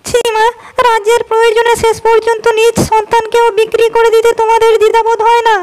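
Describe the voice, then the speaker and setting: A woman's voice: very high-pitched, monotone, quick pace. A woman voicing a defiant, scornful young princess. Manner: sharp and scornful. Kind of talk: cartoon voice acting.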